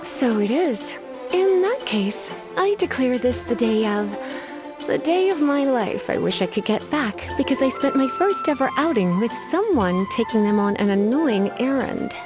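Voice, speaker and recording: A woman's voice: fairly high pitch, big pitch swings, normal speed. A woman voicing a languid, teasing female librarian-sorceress in a video game, drawling her lines and sighing wearily. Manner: drawling, sultry, weary sighs. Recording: game music underneath.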